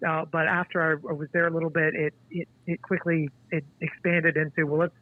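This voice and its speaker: A man in his forties, a guest author, speaking in a halting, thoughtful way with many restarts. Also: a little high, level tone, no room sound. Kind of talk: casual interview conversation. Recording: tinny dry phone line.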